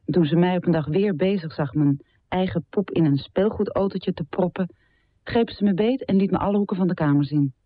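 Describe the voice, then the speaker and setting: An actress playing a poet's grown daughter, narrating memories of a difficult mother in a measured, reflective radio drama style. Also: on the low side, no echo, narrow, tinny audio, close to an everyday voice. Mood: sober, reflective. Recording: thin, band-limited dry radio recording.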